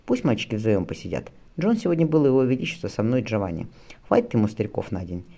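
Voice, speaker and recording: Male reader as worried wife and mother, historical audiobook, brisk, affectionate, animated pitch, slightly gravelly. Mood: worried, musing.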